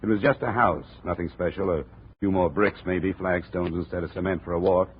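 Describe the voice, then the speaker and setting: Man voicing a sardonic hard-boiled investigator, deep voice, dry narration, tinny old radio sound. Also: steady tone, fairly quick, lightly acted. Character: wry, hard-boiled male insurance investigator. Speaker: narrator and lead. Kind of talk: 1950s radio drama acting. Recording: tinny old radio broadcast, faint hiss.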